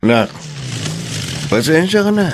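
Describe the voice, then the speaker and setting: An actor playing a hot-tempered, hard-drinking Filipino father with a soft spot for his daughter, in a radio drama. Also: fairly high pitch, very animated, takes it slowly, noisy background. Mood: tender, apologetic. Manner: combative, wheedling, apologetic with his child.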